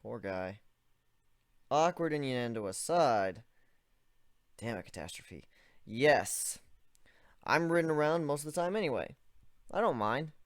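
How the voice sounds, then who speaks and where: Lively male story reader. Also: medium pitch, a little slow, long pauses, no echo, studio quality. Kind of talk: first-person dramatic reading.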